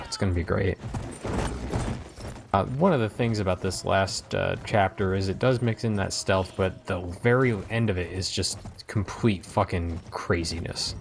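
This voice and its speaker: A man with a deep, gravelly voice hosts a gaming commentary. He talks casually, as to co-commentators, over what is being played. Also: noisy background. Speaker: host of a game let's play.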